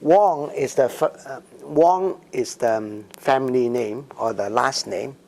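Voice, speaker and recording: Slow-speaking man in his sixties, gravelly. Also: medium pitch, a little mellow. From Macau and Hong Kong. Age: 60s. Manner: slow, hesitant, many ums and restarts. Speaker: interviewee. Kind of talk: oral history interview.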